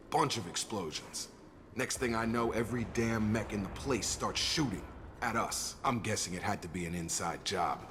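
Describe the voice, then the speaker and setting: A low-pitched American soldier played by a male actor, loyal and steady, talking in a matter-of-fact, informal way. It is game dialogue with clear background noise. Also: lightly acted.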